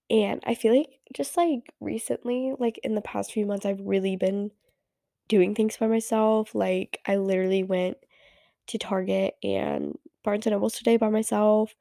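A woman's voice: mid-range voice, vocal fry, mellow, no echo, clean studio sound. Stuffy-voiced teenage girl podcaster. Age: teens. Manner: rambling, many fillers and restarts. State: stuffy from a cold. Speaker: podcast host. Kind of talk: casual solo podcast chat.

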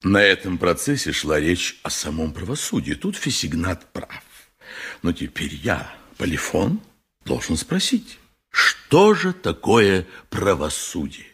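An actor playing a pompous, wily populist lawyer in a radio play, fervent and rhetorical, with dramatic pauses. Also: male voice, deep voice, very animated, fairly bright tone, slightly rough, exaggerated voice. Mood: fervent oratory. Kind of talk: 1980s radio play monologue.

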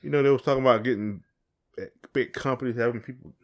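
A man's voice: medium pitch, steady tone, fast. A man co-hosting a podcast in casual chat, rambling and repeating phrases as he thinks aloud. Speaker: podcast co-host.

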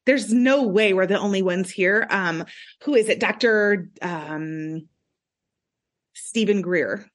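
A woman's voice: mid-range voice, takes it slowly. A woman speaking as a podcast guest in a casual, earnest, self-assured way, often checking for agreement. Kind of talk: casual reflective conversation.

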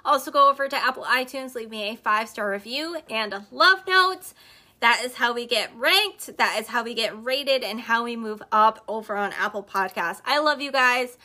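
A woman hosting a podcast, giving emphatic, repetitive business advice straight to her listeners, her voice slightly hoarse. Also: fairly high pitch, normal speed, bright, forceful tone. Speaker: podcast host and network marketer. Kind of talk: motivational business talk on a live stream.

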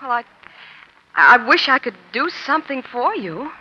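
A woman's voice: high voice, sing-song, moderate pace, pushed and bright, husky, heavily acted. A woman playing a savvy, chatty American newspaper reporter who feigns casual innocence in a 1940s radio crime drama. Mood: feigned casual. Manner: breezy, chatty, slyly casual. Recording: dull, lo-fi old radio recording.

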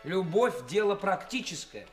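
A man's voice: high voice, sing-song, moderate pace. A man acting in a radio play as a young actor who poses as a brazen, cynical bridegroom, speaking in a theatrical, self-important, declamatory way. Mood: brazen, pompous. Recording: radio play recording with music.

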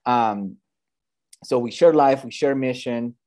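A man in his thirties talks through his story as an interview guest. He speaks earnestly and conversationally, often pausing with fillers and restarting phrases mid-thought. His pitch sits in an ordinary middle range. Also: a little mellow. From United States, Bay Area. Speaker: church planter, guest.

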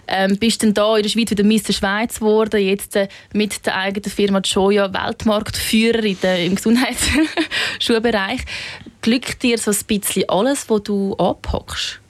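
A woman with a Swiss German accent interviews her guest in a casual way, often tagging questions onto the end of her sentences. She speaks at a mid pitch with very little melody. The sound is dry and close. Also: normal speed, no room sound. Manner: flat, tag questions with "oder?".

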